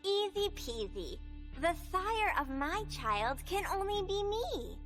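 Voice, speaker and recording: A little vampire girl in a video game cutscene, high and childlike. She takes her time in a sing-song, playful, pleading way, with loud music underneath. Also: sing-song, takes it slowly, full character voice.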